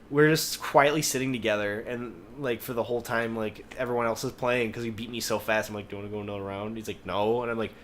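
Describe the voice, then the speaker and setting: A man co-hosting a podcast, telling rambling anecdotes in casual chat and swearing freely. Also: mid-range voice. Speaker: podcast host. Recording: noticeable background noise.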